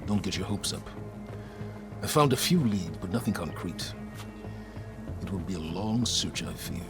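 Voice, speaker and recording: Older male scholar-strategist for a cinematic game: low, formal, measured, coolly calculating, over a music bed. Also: lively intonation. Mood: grave, measured. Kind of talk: cinematic video game dialogue.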